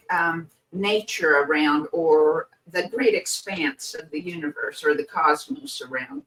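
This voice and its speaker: A woman co-hosting a podcast, speaking slowly and reflectively with many pauses, her voice a little hoarse and croaky. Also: lowish voice, a bit bright, recorded from a distance, rough recording. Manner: hesitant, many pauses and fillers. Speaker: podcast co-host. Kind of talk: slow reflective podcast chat.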